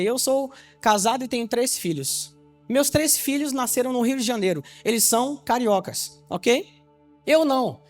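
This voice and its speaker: A man from Salvador, Bahia, preaching an evangelistic sermon to his congregation. He teaches with rhetorical questions and keeps checking whether they understand. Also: very high voice. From Salvador, Bahia, Brazil. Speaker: pastor.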